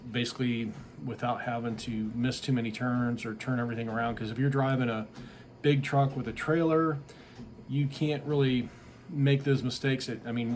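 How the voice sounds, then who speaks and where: A man's voice: medium pitch, darkish tone, a little hiss or hum. A middle-aged man giving a casual, rambling, plainspoken product review. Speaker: tree service business owner reviewing a product.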